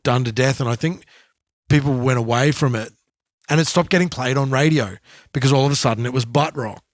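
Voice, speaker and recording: A man speaks at a middling pitch in a dry recording with little room sound. He is co-hosting a podcast, talking in a casual, conversational way and drawing on his own anecdotes. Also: a little fast, no room sound. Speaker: podcast co-host. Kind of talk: casual chat, personal anecdotes.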